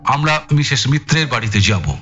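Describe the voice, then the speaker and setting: An actor playing a brusque, menacing, sarcastic police inspector in an audio drama, needling with emphatic, taunting politeness. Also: male voice, mid-range voice, animated, moderate pace, fairly bright tone, dull audio, faint music behind, natural voice. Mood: stern, threatening.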